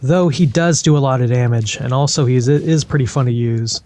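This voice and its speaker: A man's voice: mid-range voice, fairly quick, warm tone. A man giving casual, informal commentary and advice on a mobile game, a little hesitant in his phrasing. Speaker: gaming video creator.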